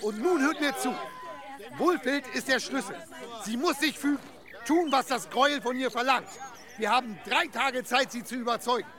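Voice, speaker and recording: A man's voice: very high-pitched, normal speed, a crowd in the background. An actor playing a hot-headed, domineering village headman in a radio drama, speechifying with scorn. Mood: rousing, scornful. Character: hot-headed village rabble-rousing father, bigoted new headman.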